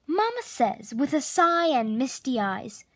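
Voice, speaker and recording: A woman narrating a children's picture book expressively, with theatrical, drawn-out emphasis on key words. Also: high-pitched, sing-song, no room sound. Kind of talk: expressive children's storybook read-aloud.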